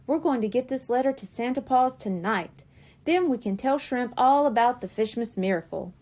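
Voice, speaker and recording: A woman's voice: a little high, expressive, moderate pace, a little mellow, natural voice. Woman doing an eager little mermaid-kitten girl. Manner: eager, pleading.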